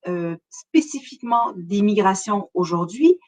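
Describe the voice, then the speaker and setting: A woman's voice: mid-range voice, lively intonation, measured pace, a little mellow. Québécoise woman presenting a webinar. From Montreal, Canada. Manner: conversational, addresses listeners directly, frequent hesitation fillers. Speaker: webinar presenter, relocation consultant.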